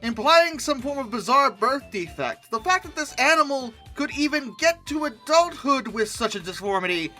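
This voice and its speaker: Host for comic commentary: lively swooping intonation, bright forceful tone, sarcastic and exasperated, light music underneath. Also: sits high, moderate pace, few pauses. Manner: sarcastic, exasperated, mock-incredulous. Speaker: video host. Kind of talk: comic commentary on dinosaur art.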